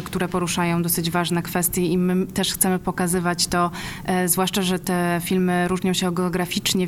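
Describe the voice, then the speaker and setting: A woman answering interview questions as a film festival programmer, speaking conversationally in a thoughtful way with searching pauses. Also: lowish voice. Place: recorded in a cinema. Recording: noticeable background noise.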